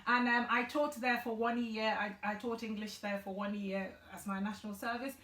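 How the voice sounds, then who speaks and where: A woman's voice: fairly high pitch, little pitch movement, moderate pace, a bit bright, slight background noise. A woman in her forties from Ghana, earnestly explaining health issues as a guest in a conversational interview. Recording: echoey room.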